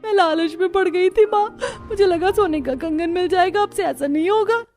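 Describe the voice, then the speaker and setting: A woman voicing a dutiful, expressive young daughter-in-law in an animated family story. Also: extremely high pitch, moderate pace. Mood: pleading. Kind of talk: Hindi cartoon story acting.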